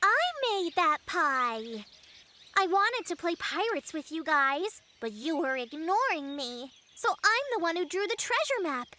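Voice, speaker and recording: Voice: very high voice, sing-song, a little slow, pushed and bright, slight background noise, exaggerated voice. An actor voicing a sweet, sensible young girl animal in a children's cartoon, an earnest peacemaker. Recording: music under the voice.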